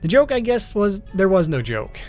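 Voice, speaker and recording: A man's voice: sits high, big pitch swings, moderate pace, a little mellow. A man hosting an explainer video, reading scripted narration with casual asides and an informal, slightly stumbling delivery. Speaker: video host. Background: background music.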